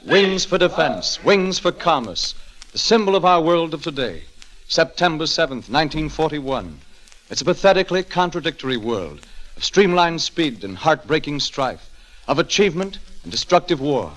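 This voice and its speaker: A man's voice: fairly high pitch, very animated, normal speed, a bit bright, poor audio. An American man narrating a 1940s radio drama as its host, in a dramatic, declamatory scripted style. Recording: old 1940s radio broadcast.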